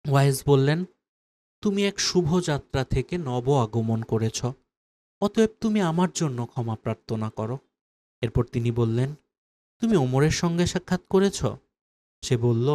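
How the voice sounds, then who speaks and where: A man's voice: a little high, darkish tone. A man reading scripted religious stories as a narrator.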